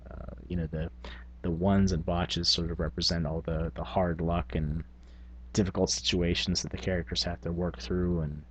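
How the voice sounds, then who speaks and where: A man with a very low voice hosts a hobby gaming video. His tone is slightly rough and husky, and he talks in a loose, casual, thinking-aloud way. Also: level tone, normal speed, rough recording. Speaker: tabletop gaming video host. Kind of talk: casual rambling talk.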